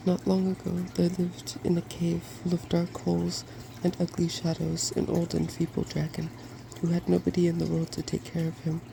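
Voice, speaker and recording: A softspoken ASMR host reading a fairy tale from a storybook in a gentle, quiet way. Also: medium pitch, completely flat delivery, normal speed, hardly any pauses. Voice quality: soft-spoken. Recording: clearly noisy background.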